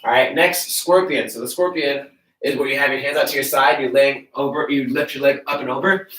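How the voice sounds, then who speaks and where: A man's voice: mid-range voice, brisk, roomy sound. A man with a blocked nose talks through stretches in an easy, friendly coaching voice. He sounds distant from the camera mic, and his voice bounces around a bare garage gym. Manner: relaxed, friendly coaching while demonstrating stretches on the floor. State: congested, stuffy nose. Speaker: fitness coach. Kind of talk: live-streamed workout instruction. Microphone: camera mic from across the room.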